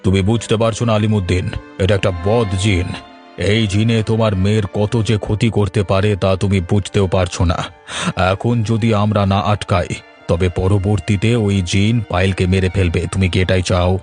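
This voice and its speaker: Grave village exorcist for a cartoon, played by a man: low, authoritative, earnest, with faint music underneath. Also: flat delivery, fairly quick, a little mellow, natural voice. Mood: urgent, stern. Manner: earnest, stern, commanding. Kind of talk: Bengali cartoon voice acting.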